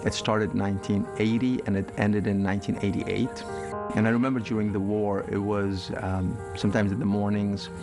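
A man's voice: lowish voice, little pitch movement. A man from northern Iraq giving a reflective personal testimony about his upbringing. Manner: reflective, measured storytelling. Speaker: testimony speaker. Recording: music bed behind voice.

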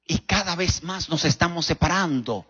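High, husky Dominican preacher man in reverberant hall. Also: a bit bright. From Dominican Republic. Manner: emphatic storytelling with rhetorical questions and dramatic pauses. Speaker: pastor preaching. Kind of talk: sermon. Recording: dull recording in a reverberant hall.

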